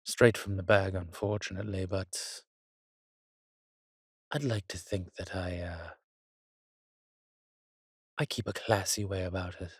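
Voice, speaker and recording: An actor playing a weary, wry, courtly vampire in a roleplay audio drama, speaking haltingly to the listener in a refined, slightly pompous manner. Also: male voice, sits low, fairly even intonation, husky, dry recording, studio quality, heavily acted. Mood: dry, genteel. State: weakened, recovering.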